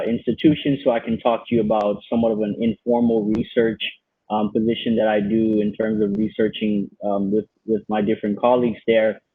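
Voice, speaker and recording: A man's voice: on the low side, no echo. Young man on a tinny video call. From Bahamas and Haiti, raised in Miami. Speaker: graduate student panelist. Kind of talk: prepared self-introduction. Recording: dry, phone-like video call audio.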